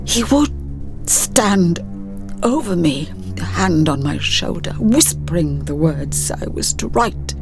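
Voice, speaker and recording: A woman voicing a frail old man, haunted and broken, in an audiobook with a halting, anguished delivery. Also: on the low side, lively intonation, creaky, music in the background, full character voice.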